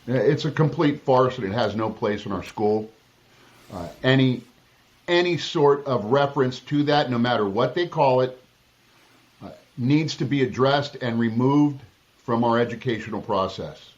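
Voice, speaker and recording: A man's voice: medium pitch, normal speed, a little mellow. Political candidate, male, from Southern California; emphatic, blunt delivery, restarts mid-sentence, slightly dull recording. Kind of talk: interview answers.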